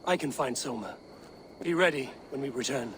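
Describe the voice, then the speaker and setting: Stoic, terse Viking hero played by an actor. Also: male voice, medium pitch, animated, normal speed, background noise, close to an everyday voice. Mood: direct, determined. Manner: terse, probing. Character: stoic, direct Viking raider hero seeking allies. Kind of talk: dramatic video game cutscene acting.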